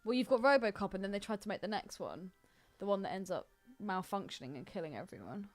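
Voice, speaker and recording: A woman's voice: mid-range voice, lively intonation, fast, soft background music. A woman in her twenties co-hosting a podcast in informal chat, often raising questions. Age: 20s. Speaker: podcast co-host. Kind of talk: casual chat.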